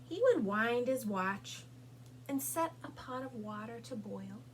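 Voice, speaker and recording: A woman reading a children's picture book aloud as a storytime host, with deliberate, expressive phrasing and pauses for young listeners. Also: fairly high pitch, a little hiss or hum, poor audio. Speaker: storytime reader at a public library.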